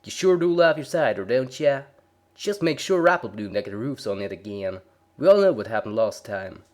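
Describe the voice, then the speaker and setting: A folksy cartoon farm mare, acted out by a man, speaks. The delivery is loud, rustic and friendly, as in a storybook reading. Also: medium pitch, very animated, moderate pace, lightly acted. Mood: teasing. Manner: folksy, drawling rustic dialect.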